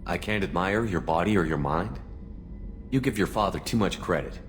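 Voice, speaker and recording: A man playing an earnest, steady starship commander in a video game, speaking in a direct, businesslike way. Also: sits low, moderate pace, a little hiss or hum. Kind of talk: video game character acting.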